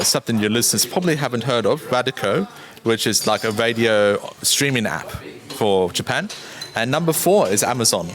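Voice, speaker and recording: A man from Australia, a guest giving clear, informative answers in a conference interview. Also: fairly low pitch, a bit bright. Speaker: interview guest, podcast producer. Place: busy conference venue. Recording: noisy crowd around the mic. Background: crowd chatter.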